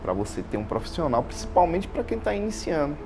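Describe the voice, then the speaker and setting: Halting Bahian man over clear music. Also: on the high side, sing-song. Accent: Bahian Brazilian. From Salvador, Bahia, Brazil. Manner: halting, repeats phrases, frequent tag questions. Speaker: interview guest, installation business owner. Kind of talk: informal personal account. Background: background music.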